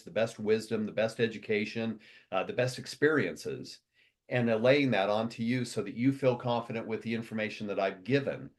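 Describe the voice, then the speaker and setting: A man who runs a company speaking to his members in a motivational address, fast and rambling, with many restarts and long lists. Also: fairly low pitch.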